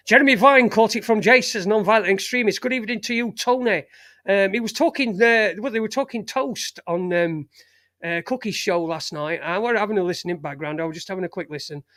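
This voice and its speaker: A man's voice: sits high, a bit bright, no echo, clean studio sound. A man in his forties with a Sheffield accent, hosting a live stream and chatting loosely to his chat room, swearing often and trailing off. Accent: Sheffield, Yorkshire. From England. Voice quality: chatty, swears freely, lots of fillers and restarts.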